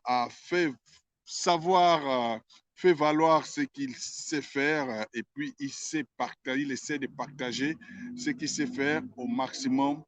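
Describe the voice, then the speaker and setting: Hesitant male radio host, slow. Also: medium pitch, no room sound. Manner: hesitant, many drawn-out fillers. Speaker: interviewer host. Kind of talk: broadcast interview introduction. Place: radio station premises. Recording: dull lo-fi sound.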